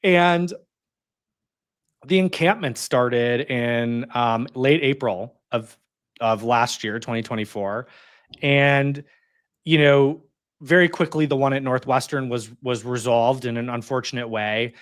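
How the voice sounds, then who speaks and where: Here is a man in his thirties speaking as an interview guest. He talks casually and chattily, filling his sentences with "like" and "you know" and breaking into quick laughs, at a middle pitch. The recording is dry and close, with no room sound. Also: clear, resonant tone, dry recording. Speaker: interview guest, community organizer. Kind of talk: casual conversational storytelling.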